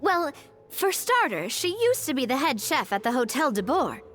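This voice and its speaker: A woman's voice: high-pitched, sing-song, normal speed, a bit bright, soft background music. A woman playing a casual, friendly young woman who knows the local gossip in a video game. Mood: explaining.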